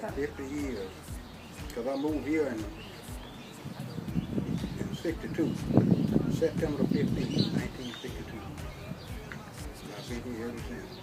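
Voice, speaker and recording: Unhurried man in his seventies. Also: medium pitch, poor audio. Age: 70s. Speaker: neighborhood resident. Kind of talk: interview answers. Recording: loud music bed under voice.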